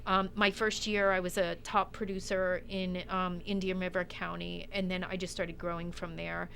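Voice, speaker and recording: A woman's voice: lowish voice, flat delivery, moderate pace, vocal fry, a bit bright. Informal woman guest over noticeable background noise. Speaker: guest, real estate executive. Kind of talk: conversational interview storytelling.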